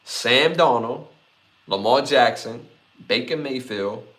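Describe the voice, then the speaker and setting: Slow, emphatic podcast host man. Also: mid-range voice, fairly bright tone, poor audio. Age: 40s. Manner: emphatic, repeats himself, talks to chat. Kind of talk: solo podcast commentary.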